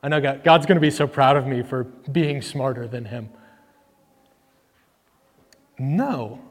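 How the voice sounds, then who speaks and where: A man's voice: mid-range voice, a little slow. Man preaching casually in a reverberant hall. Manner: conversational, filler-heavy, voices characters when reading. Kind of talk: casual sermon with scripture reading. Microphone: microphone that cuts out.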